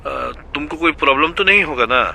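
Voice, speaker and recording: Guy doing older bengali theatre man, chummy, halting, very bright edgy voice. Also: on the high side. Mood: chummy, coaxing. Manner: chummy, halting, ungrammatical Hindi. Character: familiar older Bengali theatre senior asking favour. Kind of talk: audio drama phone conversation.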